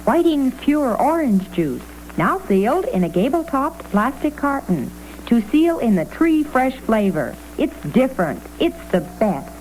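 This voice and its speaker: A woman's voice: high-pitched, sing-song, a little slow, darkish tone, low-quality recording. A woman announcing a product in the style of a 1960s radio advertisement. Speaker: commercial announcer. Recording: faint hum, vintage radio.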